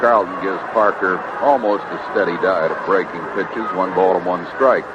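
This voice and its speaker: A man's voice: low voice, normal speed. An American man calling baseball play by play as a live radio announcer. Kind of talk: live radio sports commentary. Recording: dull, very noisy vintage AM radio broadcast.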